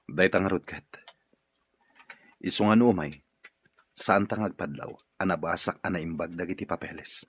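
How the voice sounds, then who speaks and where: A man's voice: low-pitched, normal speed, long pauses, husky. An actor playing a stern, wary, ailing male boss in a radio drama. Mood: suspicious, firm. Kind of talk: Ilocano radio drama acting. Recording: dull, lo-fi radio drama audio.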